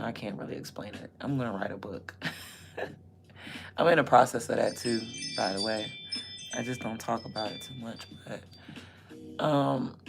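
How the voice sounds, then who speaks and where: A woman in her thirties with a naturally raspy voice, chatting candidly and rambling on a casual livestream. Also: a bass voice. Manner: candid, rambling, drifts between loud and murmured asides.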